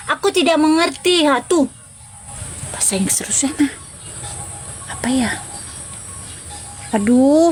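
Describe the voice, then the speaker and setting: Lady on a livestream, really high voice, chatty, slangy, talking to viewers. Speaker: livestream host. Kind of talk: casual live stream chat with viewers.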